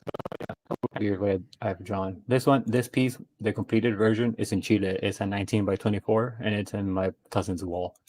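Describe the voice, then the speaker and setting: A man chatting casually as a guest artist, relaxed and quick to laugh as he talks. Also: fairly low pitch, dry recording, rough recording. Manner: relaxed, laughs easily, quick back-channels. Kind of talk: casual chat on a stream.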